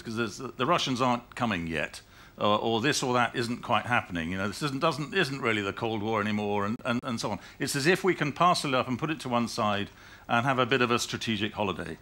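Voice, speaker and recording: A man's voice: medium pitch, bright, forceful tone. A middle-aged British man speaking on a conference panel, rambling and restarting his sentences with laughing asides. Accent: British English. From United Kingdom. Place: conference hall stage.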